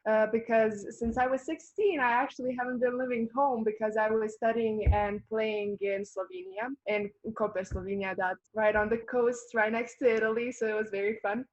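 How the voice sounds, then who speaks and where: A woman's voice: fairly high pitch, normal speed, few pauses, highs cut off. A young woman from Bosnia and Herzegovina, a native Bosnian speaker and college volleyball player, answering interview questions in a chatty way, with fillers and frequent laughs. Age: young adult. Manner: chatty, laughs often, many fillers and restarts.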